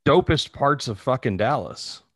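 A man's voice: mid-range voice, animated, unhurried, dry recording. A man chatting casually as a podcast co-host, slangy, bantering and profane. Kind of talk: casual chat.

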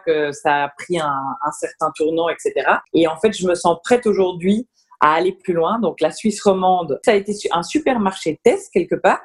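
A woman, a business founder talking enthusiastically as a podcast guest. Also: on the low side. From Belgium. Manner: lively, enthusiastic, talks through ideas with fillers. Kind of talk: casual interview chat.

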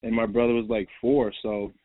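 A man's voice: medium pitch, level tone, normal speed, dry recording. Rambling young man on a tinny line. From New York. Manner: rambling, repeats words, says "bro" and "like". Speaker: basketball player, guest. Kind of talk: casual interview. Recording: tinny phone-like line, dry.

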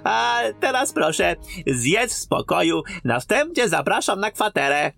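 A man acting an obsequious, gossiping innkeeper in a fantasy audio drama, with a fawning, halting manner. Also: sits high, sing-song, vocal fry, a bit bright, faint music behind, heavily acted. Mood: humbly hopeful. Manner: fawning, halting, drawn-out delivery.

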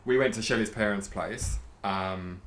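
A man with a fairly low voice, hosting a loose podcast chat full of jokes and laughter. He rambles and restarts as he talks, with faint room noise behind him. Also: a little slow. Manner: jokey, rambling, laughs a lot. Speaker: podcast host. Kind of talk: casual chat. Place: home at night.

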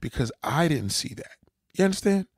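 A man with a gravelly, fry-edged voice at an ordinary pitch lectures bluntly, swearing now and then. He keeps checking that the listener understands. The sound is dry and close. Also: very animated, normal speed, dry recording. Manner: lecturing, repeats "you understand?". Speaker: dating advice commentator. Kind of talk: reaction commentary.

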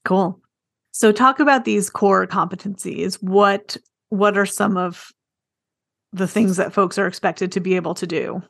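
A woman speaks as a podcast host in conversation with a guest. Her pitch sits in the middle range for a woman, and she talks in an informal interview style. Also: measured pace, creaky, a bit bright, no echo, studio quality.

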